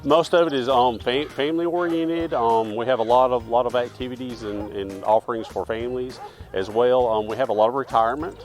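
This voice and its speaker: A man answers interview questions outdoors in a park. He is friendly and often restarts his phrases. His voice is mid-pitched, and faint music plays under it. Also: fairly even intonation, fairly quick, a little mellow. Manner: friendly, repeats words when starting a phrase. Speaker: town mayor.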